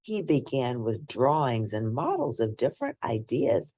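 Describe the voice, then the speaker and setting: A woman reading a children's picture book aloud in an expressive, emphatic way, with asides to young listeners. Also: a bass voice, a little slow. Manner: animated, emphatic storytelling. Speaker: children's book reader and host.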